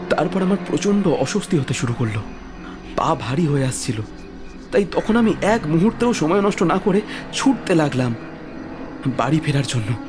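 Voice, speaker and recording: An actor playing a frightened young man, stammering and breathless as he recounts being chased in the dark. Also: fairly high pitch, close to an everyday voice. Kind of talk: Bengali audio drama acting.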